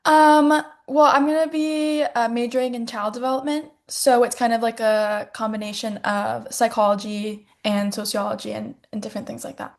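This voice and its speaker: A woman's voice: on the high side, steady tone, normal speed, few pauses. A young woman in her late teens casually introducing herself as a student guest. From United States.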